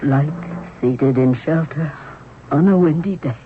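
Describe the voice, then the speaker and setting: A stern royal wife and mother speaks slowly and solemnly. Her delivery is measured and ceremonial, in a dull vintage broadcast. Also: low-pitched, a little hiss or hum. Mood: solemn, dutiful. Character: stern, dignified queen mother and pharaoh's wife. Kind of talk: 1970s radio drama acting. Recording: muffled old radio, faint music.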